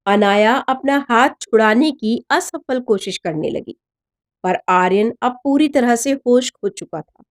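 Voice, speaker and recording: Woman, high voice, expressive storytelling, scripted dramatic narration. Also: measured pace. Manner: expressive, voices the dialogue of characters.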